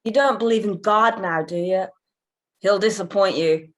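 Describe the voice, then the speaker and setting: A woman's voice: mid-range voice, normal speed, lightly acted. A woman playing a blunt, sardonic, weathered mother in her forties in a table read. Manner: blunt, irreverent.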